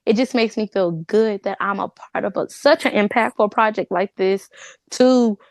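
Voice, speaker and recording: A woman's voice: mid-range voice, normal speed, darkish tone, no room sound, studio quality. A woman speaking as an interview guest in an informal, conversational way, peppering her talk with "like". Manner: informal, says "like" often. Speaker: cast member, interview guest. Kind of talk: casual conversational chat.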